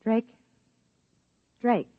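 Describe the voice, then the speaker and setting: An actress playing a wary, observant wife and biologist, narrating in measured 1950s radio drama style, on a dull old broadcast recording. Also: female voice, no room sound. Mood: tense. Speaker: narrator and lead character.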